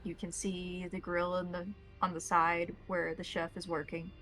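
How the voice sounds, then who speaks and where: A woman narrating a tabletop roleplaying game as game master, describing scenes in deliberate detail. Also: on the low side, monotone, a bit bright, faint music behind.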